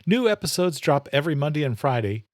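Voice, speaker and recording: A man narrating a horror-thriller story in a measured, steady storytelling manner. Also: on the high side, no room sound, clean studio sound. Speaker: narrator and author. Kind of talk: scripted audiobook narration.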